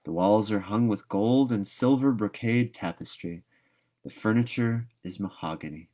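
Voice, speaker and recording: A man's voice: fairly low pitch, moderate pace, dark tone, lightly acted. A man voicing a dreamy, chattering young orphan girl in a storybook read-aloud, gushing and wistful. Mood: grandly imaginative.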